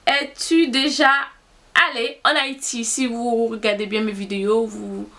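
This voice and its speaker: Slow-talking Haitian woman, higher voice. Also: little pitch movement, bright, forceful tone. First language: Haitian Creole. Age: young adult. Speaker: YouTuber. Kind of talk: casual vlog, answering tag questions.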